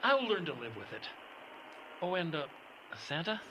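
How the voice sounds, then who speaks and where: Clear noise sits behind a man acting a sly radio-play villain. His voice is fairly high and swings expressively. He is a smug, scheming salesman type with casual menace. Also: sing-song, normal speed, bright, forceful tone, poor audio. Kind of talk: audio drama acting.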